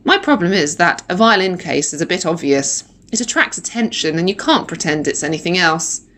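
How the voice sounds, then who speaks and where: A woman reading a children's story in the first person, with animated, dramatic emphasis like a primary school teacher. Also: on the low side, moderate pace. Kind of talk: expressive children's audiobook narration in first person.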